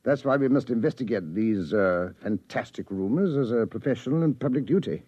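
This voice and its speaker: A man's voice: mid-range voice, lively intonation, a little fast, mellow, slightly rough, no room sound. A man playing an indignant, dignified English medical chairman, formal and pompous, in 1950s radio drama style. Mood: disdainful. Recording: muffled vintage 1950s radio recording.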